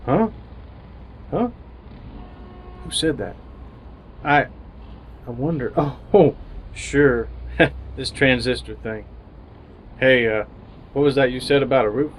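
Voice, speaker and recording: An actor playing an awkward, lonely city bachelor in an old-time radio drama, halting and bemused as he talks and narrates. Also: mid-range voice, slight background noise, close to an everyday voice.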